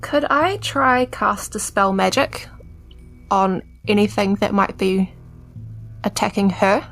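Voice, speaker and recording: A woman's voice: medium pitch, slow pace, fairly bright tone, soft background music. A woman, chatting casually as a player in a tabletop game.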